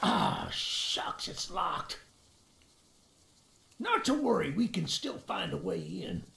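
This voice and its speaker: Creaky, animated old monster hunter. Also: male voice, high-pitched, slow pace, full character voice. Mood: helpful. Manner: folksy, animated, theatrical. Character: eager old monster-hunting man, friendly and folksy. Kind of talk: video game cutscene acting. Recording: roomy echo with music underneath.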